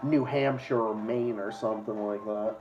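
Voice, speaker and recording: A man with a Boston accent hosting a casual livestream, rambling and swearing as he chats, often trailing off quietly. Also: on the low side, fairly even intonation, normal speed, creaky, highs cut off, soft background music. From Massachusetts. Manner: rambling, profane, trails off softly. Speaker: stream host.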